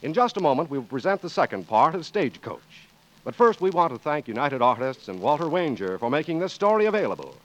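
A man's voice: on the high side, big pitch swings, fast, lo-fi sound. A man announcing in a formal, polished, emphatic 1940s radio style, reading scripted copy. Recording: vintage radio broadcast, music behind.

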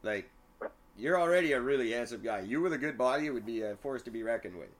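A man's voice: medium pitch, animated, fast. A young man chatting casually on a podcast, brash and self-mocking, swearing freely. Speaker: podcast co-host.

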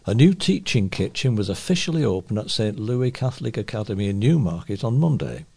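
A man reads local news items from a script as a volunteer newsreader. His voice sits slightly low and has a clearly creaky, gravelly edge. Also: darkish tone.

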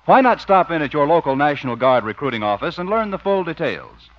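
A man's voice: fairly high pitch, very animated, brisk, no echo. A man announcing a 1950s radio show, reading scripted narration with polished, dramatic emphasis. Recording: muffled vintage radio, music behind.

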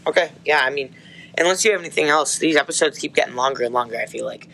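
A man chatting on a casual faith podcast, his bright voice a little hoarse. He rambles, restarts sentences and fills pauses with "um.". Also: on the high side. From United States. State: slightly hoarse. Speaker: podcast co-host.

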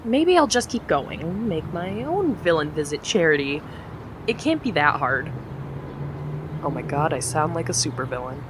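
A woman's voice: sits low, sing-song, normal speed, a little hiss or hum. An actress playing a determined young woman working at a charity, in an audio drama. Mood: wry. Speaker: charity coordinator Meredith Dare.

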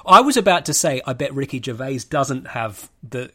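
A man in easy, casual conversation, mid-pitched and unhurried in tone. He swears freely and acts out little stories with quoted voices, jumping in quickly with reactions. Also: expressive, bright, forceful tone. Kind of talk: casual chat.

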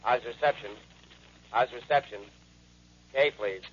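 A man's voice: mid-range voice, unhurried, husky. An actor playing a male military radio operator in a 1930s radio drama, calling stations in clipped, terse reports. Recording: dull lo-fi 1930s radio recording.

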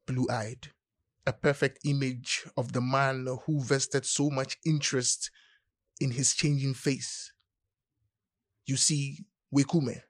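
A man reading poetry aloud deliberately, pausing phrase by phrase. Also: medium pitch, dry recording, studio quality. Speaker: poetry reader.

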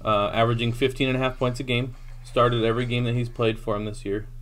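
A man's voice: mid-range voice, level tone, fast, a bit bright. A man co-hosting a casual basketball podcast, steadily reeling off stats with frequent ums. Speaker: podcast co-host. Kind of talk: casual sports talk.

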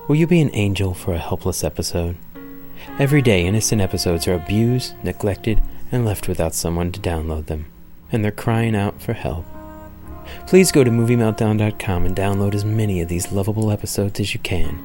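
A man reading a promo as a mock-earnest charity appeal, solemn, pleading and deadpan. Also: on the low side, brisk. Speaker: podcast promo announcer. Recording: music bed under voice. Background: music.

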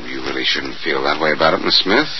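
An actor playing a cool, wry amateur sleuth in the dry, plain-spoken manner of 1940s radio drama. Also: male voice, extremely low pitch, lively intonation, fast talker. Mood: calm, reassuring. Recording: muffled, noisy old radio recording.

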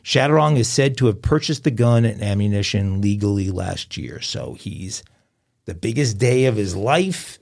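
A man's voice: lowish voice, lively intonation, normal speed, gravelly, darkish tone. A man co-hosting a crime podcast, talking through a story with hesitant fillers. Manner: hesitant, many fillers while explaining. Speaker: podcast co-host. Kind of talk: casual news chat.

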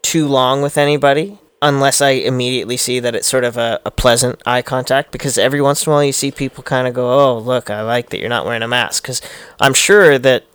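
A man with a smooth, resonant mid-range voice, talking earnestly and thoughtfully with an easy laugh. The sound is dry and close. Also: mid-range voice, fairly even intonation, quick pace, fairly bright tone, no room sound. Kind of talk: casual chat.

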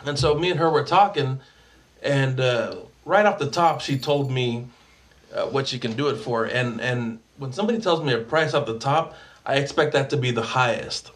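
A man's voice: medium pitch, normal speed. A man, a guest photographer on a podcast, telling a story casually with restarts and some swearing.

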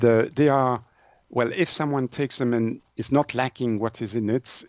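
A man from Switzerland, a native French speaker, explaining things as an interview guest in halting English, searching for words. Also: mid-range voice, darkish tone, no echo, lo-fi sound. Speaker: naturopath and author, interview guest.

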